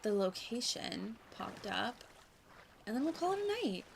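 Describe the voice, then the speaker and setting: A woman's voice: on the high side, sing-song, measured pace, vocal fry, a little hiss or hum. A woman livestreaming a video game with casual commentary, in a playful, gushing and informal manner. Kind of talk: casual live gameplay commentary. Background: music.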